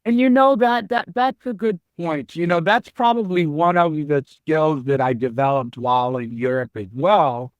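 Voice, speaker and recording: A middle-aged man, a chief executive, answering interview questions in a slow, measured and reflective way. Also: a little high, no room sound, muffled recording. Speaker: CEO guest.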